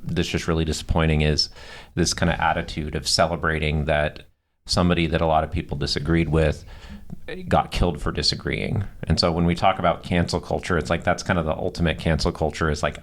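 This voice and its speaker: A man's voice: very deep voice, level tone, brisk. A man co-hosting a podcast, talking conversationally and steering the discussion with firm opinions. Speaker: podcast co-host.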